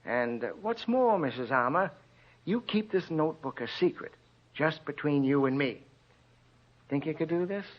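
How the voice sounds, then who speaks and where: An actor playing a calm, probing American police detective, measured and reassuring, in an old-time radio drama. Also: male voice, fairly high pitch. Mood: coaxing, confidential. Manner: measured, coaxing questioning. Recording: muffled old radio recording.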